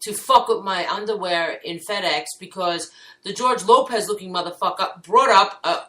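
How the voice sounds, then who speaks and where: A woman's voice: medium pitch, moderate pace, pushed and bright, echoey room, rough recording. A woman co-hosting a casual talk show, speaking bluntly and complaining about a lost package. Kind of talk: casual chat.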